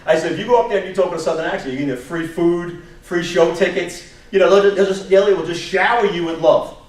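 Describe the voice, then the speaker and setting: A man from Long Island with a Brooklyn New York accent, giving an informal talk to a staff meeting in an animated storytelling way. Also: high voice, quick pace. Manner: animated storytelling, acts out quotes, rhetorical questions, chuckles. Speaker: presenter, job search instructor. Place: indoor meeting room, recorded from a distance. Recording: echoey room from far off, background noise.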